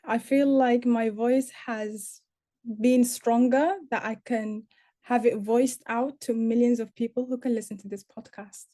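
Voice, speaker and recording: A young woman from Sudan answers interview questions as a youth activist. Her voice is fairly high yet mellow. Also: fairly even intonation, a little slow. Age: young adult. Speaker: youth activist, podcast co-host.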